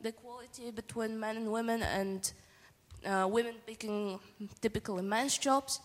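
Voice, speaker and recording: A woman's voice: a little high, fairly even intonation, moderate pace. A woman from Bulgaria, making an informal, hesitant comment from the audience at a discussion. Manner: tentative, casual, hesitant. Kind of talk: informal spoken remark at a discussion. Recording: echoey hall with long reverb.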